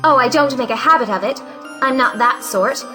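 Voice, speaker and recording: A woman's voice: high voice, moderate pace, over music, lightly acted. A woman playing a frank, defiant young unmarried lady, earnest and plaintive, in a theatrical audio play. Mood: bitterly frank. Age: young adult. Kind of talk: theatrical audio drama acting of a 1917 play.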